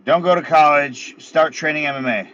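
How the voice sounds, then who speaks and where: A man's voice: on the high side, normal speed, sharp tone, slight background noise. Blunt guy in his thirties, muffled line. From United States. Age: 30s. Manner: laid-back, blunt, swears casually. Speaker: guest, MMA fighter. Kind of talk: casual interview. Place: in his car.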